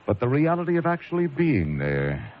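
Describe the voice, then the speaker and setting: Wry, intense American thriller narrator, mid-pitched and very animated; muffled vintage radio sound. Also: male voice, normal speed. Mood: brooding, intense. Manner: intense, theatrical. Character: haunted, wry male lighthouse keeper recounting his ordeal. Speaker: narrator and lead character. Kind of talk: dramatic first-person radio thriller narration and acting.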